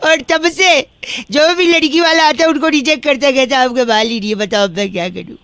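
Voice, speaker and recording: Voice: high-pitched, a little fast, very noisy. A radio comedy host making prank phone calls, telling rambling mock-earnest stories with great persistence. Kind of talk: comic prank phone call patter.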